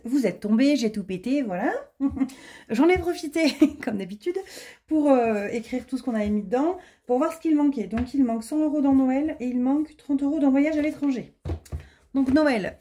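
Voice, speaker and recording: A woman in her late thirties chatting casually to camera at home about her monthly budget, laughing often and filling pauses with lots of hesitations. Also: high voice, mellow. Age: 30s. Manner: chatty, self-deprecating, laughs often, many fillers. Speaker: budgeting vlogger. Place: home, indoors.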